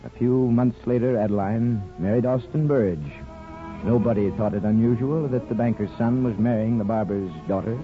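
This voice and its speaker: An actor playing a gentle, folksy small-town barber and grandfather in a 1950s radio drama, measured and reflective. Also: mid-range voice, natural voice. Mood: wistful reminiscence. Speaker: lead actor and narrator. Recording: muffled 1950s radio broadcast, music behind.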